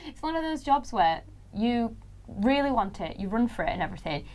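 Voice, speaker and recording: A woman's voice: a little high, sing-song, brisk, darkish tone. A young Welsh woman in her early twenties, a student leader being interviewed, talking in a chatty way with a big voice and frequent laughs. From Wales. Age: young adult. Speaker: student union president-elect. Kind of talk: casual studio interview.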